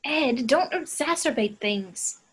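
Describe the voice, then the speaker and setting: A woman's voice: high voice, animated, slow pace, breathy edge, exaggerated voice. A woman reading the part of an exasperated but level-headed young peacemaker in an amateur table read of a film script. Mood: placating.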